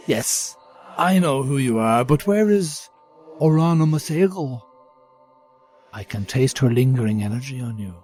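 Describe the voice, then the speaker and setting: An ancient, sinister piper for an audio drama. He speaks very slowly and with menacing courtesy, his pitch sliding widely as he taunts and savours each word. Faint music plays behind him. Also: animated, a little mellow. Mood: smug, probing. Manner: slow, menacing, mock-courteous, savouring words. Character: sinister ancient piper, gloating, taunting child-stealing villain.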